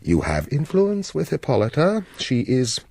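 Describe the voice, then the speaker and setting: An actor playing an imperious, scheming lord of a Gothic castle in a radio drama, commanding and curt. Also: male voice, mid-range voice, wildly swooping pitch, unhurried, gravelly, a little mellow, heavily acted.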